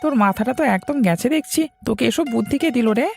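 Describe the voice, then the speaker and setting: A man's voice: very high-pitched, lively intonation, quick pace, gravelly, a little mellow, soft background music, exaggerated voice. A man playing a blunt, stubborn village farmer in an educational cartoon. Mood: scornful. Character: scoffing, stubborn village farmer, neighbour of the hero.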